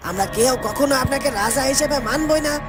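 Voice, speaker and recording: A loyal palace guard acted by a woman speaks formally in a highish voice. The delivery is earnest fairytale cartoon drama. Loud music sits behind the words. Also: quick pace, lightly acted. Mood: defiant.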